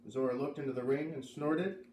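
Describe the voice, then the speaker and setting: A man reading a children's novel aloud as an expressive storybook narrator. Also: mid-range voice, fairly quick. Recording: roomy, distant mic, faint noise.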